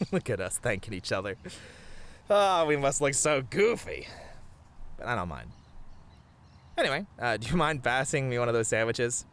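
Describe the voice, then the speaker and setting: A man playing a sweet, bashful young boyfriend in a romantic roleplay, talking to the listener in a tender, halting, earnest way. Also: mid-range voice, very animated. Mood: fond, lighthearted. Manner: soft-hearted, halting, sighing, chuckling, gently earnest.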